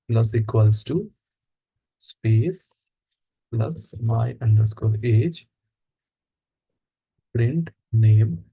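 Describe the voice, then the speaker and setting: A man teaching an online coding class, explaining each step slowly and checking in with his students. His voice sits at an ordinary middle pitch. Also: warm tone, low-quality recording. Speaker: instructor. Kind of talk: live coding lesson.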